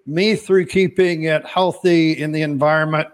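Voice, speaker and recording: A man's voice: on the high side, steady tone, normal speed. A man hosting a podcast interview, talking in a loose, rambling way and often repeating words as he starts a sentence. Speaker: podcast host. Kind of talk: casual interview chat.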